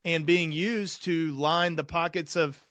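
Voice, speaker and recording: A young man hosts a casual interview, talking in a storytelling way and acting out his own past thoughts. His voice sits fairly high for a man. The sound is dry, with no room echo. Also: steady tone, a little slow, fairly bright tone. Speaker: psychiatrist host.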